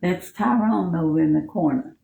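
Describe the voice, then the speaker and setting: Gravelly-voiced Texan woman in her seventies. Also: on the low side, normal speed, mellow, rough recording. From Texas. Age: 70s. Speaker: interview guest. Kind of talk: casual conversation at home. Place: living room of her home.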